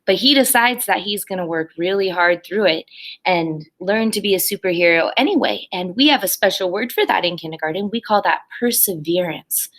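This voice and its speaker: A woman kindergarten teacher reading a picture book aloud expressively for young children, with simple explanatory asides. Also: on the low side.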